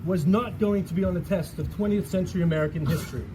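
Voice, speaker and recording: A man acting a flustered, blustering fellow in a comic stage play, with halting delivery. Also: high-pitched, natural voice. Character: exasperated dream man, bumbling suitor and flustered history teacher. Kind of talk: theatrical one-act stage comedy acting. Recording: noisy live stage recording.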